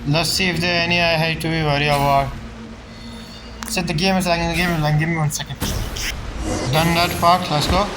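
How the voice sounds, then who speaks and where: Higher-voiced man casually commenting on gameplay. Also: level tone, brisk, long pauses, background noise. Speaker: video game streamer. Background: game music.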